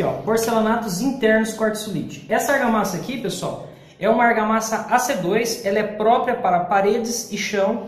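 A man's voice: high-pitched, slight background noise. A man in his forties from Brazil, giving a casual, chatty tile-setting tutorial in an unfinished kitchen and often calling out to his viewers. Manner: chatty, calls viewers "pessoal" and "galera". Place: unfinished apartment kitchen, indoors. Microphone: camera at close range. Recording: echoey bare room.

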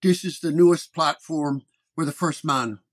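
A man voicing a brash, sneering young police sergeant in a detective story, gruff and needling. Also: fairly high pitch, normal speed, close to an everyday voice. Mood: brusque.

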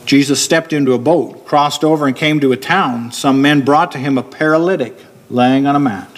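A middle-aged man delivers a sermon at a church pulpit, with his voice taken through a pulpit microphone. He explains his points steadily and slows down deliberately when he wants one to land. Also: medium pitch. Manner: steady, explanatory preaching, slowing down to stress key points. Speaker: preacher. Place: church hall with a wooden pulpit.

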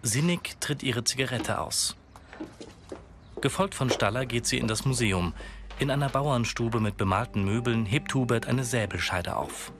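A man's voice: medium pitch, moderate pace, noisy background. A man reading scripted audio-description narration in a factual manner. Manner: factual, present-tense scene description.

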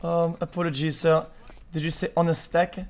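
A man's voice: a little high, flat delivery, moderate pace, a little mellow, natural voice. A man voicing a haughty male guard in a game, with a put-on French accent and barked orders. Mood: mock-polite. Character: snooty male French guard bossing peasants. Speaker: streamer roleplaying a game guard.

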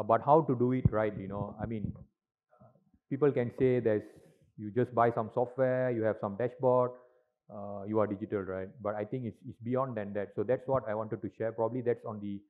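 Guy speaking on a conference stage into a handheld mic, kinda low voice, sounds thin and phone-like. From Malaysia. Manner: filler-heavy, frequent tag questions. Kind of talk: presentation talk. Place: large conference hall stage. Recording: tinny, lo-fi hall recording.

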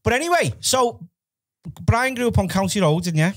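High-voiced Scouse podcast host. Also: male voice, lively intonation, normal speed. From Liverpool, England. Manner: friendly, prompting with questions. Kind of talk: casual chat. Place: small studio with brick wall. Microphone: headset and desk mic.

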